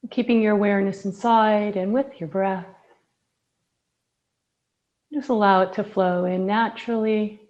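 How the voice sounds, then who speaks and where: A woman teaching yoga, softly and soothingly guiding a breathing meditation with long pauses. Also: mid-range voice, steady tone, highs cut off. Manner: slow, soft, soothing guidance with long pauses.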